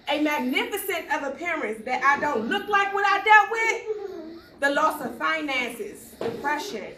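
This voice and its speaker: A woman giving an emphatic, declamatory spoken-word poetry reading with deliberate pauses. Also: high-pitched, sharp tone. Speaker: poet reciting own poem. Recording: roomy echo, distant mic, faint noise.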